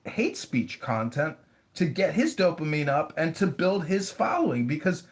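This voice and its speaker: A man's voice: on the high side, big pitch swings, normal speed. A man running for office, talking casually in an interview, blunt and rambling, with the odd swear word. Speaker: mayoral candidate.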